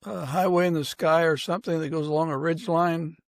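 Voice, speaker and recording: An American man interviews a guest in a relaxed, reassuring style. His voice is slightly high with plenty of fry. It is recorded dry, with little room sound. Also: brisk, no echo. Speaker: podcast host, interviewer. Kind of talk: casual interview chat.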